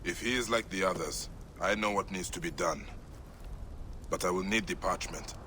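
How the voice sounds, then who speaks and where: A man's voice: low voice, moderate pace, pushed and bright, a little hiss or hum. A man voicing a grave, dry-witted warrior in a game cutscene, speaking tersely. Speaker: game protagonist. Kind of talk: video game cutscene acting.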